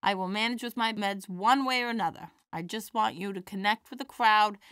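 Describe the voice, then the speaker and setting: A woman playing a bright, feisty granny comedienne. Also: mid-range voice, brisk, smooth, no room sound, studio quality, natural voice. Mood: earnest, encouraging. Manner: wry, theatrical comic delivery. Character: feisty elderly granny stand-up comedienne, warm-hearted and wisecracking. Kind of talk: audio drama stand-up and dialogue.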